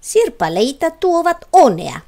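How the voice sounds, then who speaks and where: A woman's voice: high-pitched, sing-song, vocal fry, dark tone, voice pushed up, exaggerated voice. A woman voicing a plucky, determined child adventurer in a storybook, with emphatic exclamations. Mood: upbeat.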